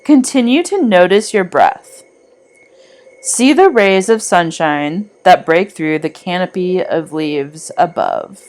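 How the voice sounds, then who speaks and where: A woman reading a scripted guided meditation in a soothing, deliberate manner with long pauses. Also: lowish voice, animated, darkish tone.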